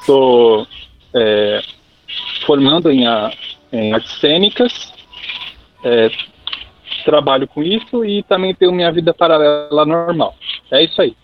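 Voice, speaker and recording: A man, a podcast guest who introduces himself in a halting, hesitant way. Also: on the high side, muffled recording. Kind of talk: casual podcast chat.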